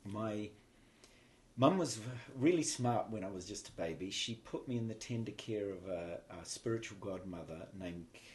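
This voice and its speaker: Low-voiced New Zealand man reminiscing. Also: moderate pace. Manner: reflective, meandering with restarts and soft laughs. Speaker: guest, spiritual teacher. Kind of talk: casual storytelling interview.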